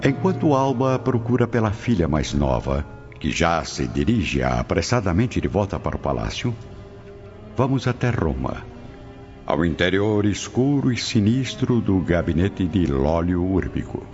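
A man's voice: deep voice, very animated, a little slow, darkish tone. A man with a Brazilian accent giving dramatic scripted narration as the narrator of a radio drama. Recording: dull lo-fi audio. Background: prominent music.

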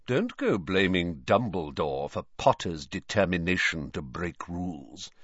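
Man voicing a cold sneering teacher, low, slow and soft, audiobook, slightly dull lo-fi recording. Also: very animated, slightly rough, no room sound, full character voice. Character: malicious potions master, man, cold and sneering.

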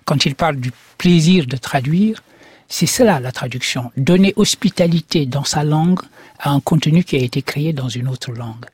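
A middle-aged male scholar answering on the radio, explaining in a measured, professorial way. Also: fairly high pitch, husky, dry recording. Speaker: guest scholar.

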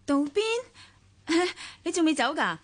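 A woman's voice: sits high, very animated, unhurried. An actress playing a sociable, reflective well-off woman in a radio drama, with a Hong Kong Cantonese accent. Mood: surprised. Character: well-off, sociable, somewhat wistful woman narrating her romance.

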